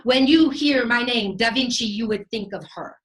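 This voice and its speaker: A woman playing a vain, playful Renaissance genius in a theatrical comic monologue, with florid delivery, dramatic pauses and sprinkled Italian words. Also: fairly high pitch, natural voice. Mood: rapturous. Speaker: stage actor.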